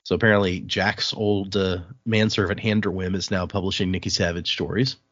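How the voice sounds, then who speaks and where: A man's voice: deep voice, little pitch movement, brisk, fairly bright tone, no room sound, highs cut off. A man hosting a podcast, chatting informally as he recaps a book and reads bits of it aloud. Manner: conversational, informal. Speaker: podcast host. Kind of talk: casual chat recapping and reading from a novel.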